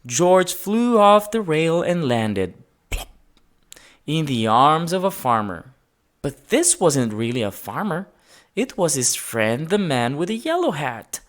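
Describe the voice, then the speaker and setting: A woman with a low voice narrates a children's picture book. She takes her time, and her pitch moves in wide, lively swings. Key words get dramatic emphasis. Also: slow. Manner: expressive, dramatic emphasis, sound-effect words. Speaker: storybook reader. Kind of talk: read-aloud children's story narration.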